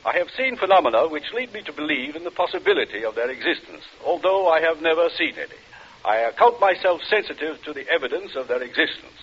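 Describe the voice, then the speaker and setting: Earnest ghost researcher, formal, ominous, theatrical intonation, old radio play, muffled recording. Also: male voice, a little high, lively intonation, fast talker, a bit bright, breathy edge. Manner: formal, pedantic, grave, ominous. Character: solemn, earnest paranormal investigator doctor. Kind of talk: old-time radio drama acting.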